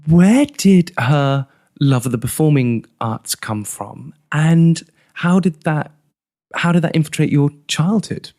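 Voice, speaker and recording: A man hosting a podcast, asking animated, enthusiastic questions and quick to laugh. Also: on the high side. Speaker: podcast host. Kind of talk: casual interview chat.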